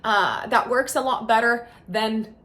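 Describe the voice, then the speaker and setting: A woman's voice: on the high side, unhurried, a bit bright. A woman who is a native Romanian speaker, chatting casually and playfully as an astrologer giving a horoscope, thinking aloud as she goes. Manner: informal and playful, thinks aloud with repeated words. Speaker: astrologer and host. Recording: echoey, reverberant room.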